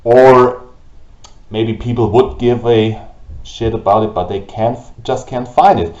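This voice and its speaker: A man with a German accent, whose first language is German, hosting a gaming channel and ranting slowly and haltingly about it to camera. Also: mid-range voice, steady tone, a little mellow. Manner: halting, with restarts and pauses. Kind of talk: frustrated rant to camera. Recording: roomy, dull lo-fi audio.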